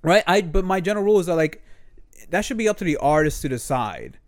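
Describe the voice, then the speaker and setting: A man's voice: fairly high pitch, animated, no echo. Argumentative young man, dry close sound. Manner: argumentative, measured, profane. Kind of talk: casual chat.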